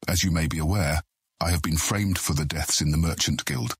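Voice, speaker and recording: A man playing a grave, authoritative guild deputy in an audiobook drama, with a deep, husky voice and measured, formal speech. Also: dry recording, clean studio sound, full character voice.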